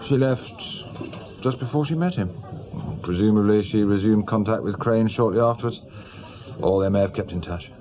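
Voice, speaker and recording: An actor playing a brisk, self-assured senior British police officer in middle age, matter-of-fact and rather patronising. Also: male voice, on the low side, lo-fi sound, a crowd in the background, lightly acted. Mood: casual, matter-of-fact. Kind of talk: naturalistic radio drama acting.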